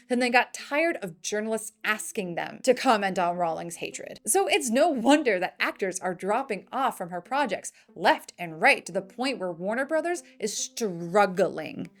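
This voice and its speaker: A woman's voice: medium pitch, lively intonation, moderate pace, hardly any pauses, a bit bright. A woman hosting a scripted film news show with wry, opinionated commentary.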